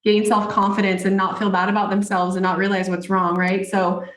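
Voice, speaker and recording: A woman's voice: mid-range voice, monotone, a little fast, echoey room. A woman guest talking earnestly and at length in casual chat.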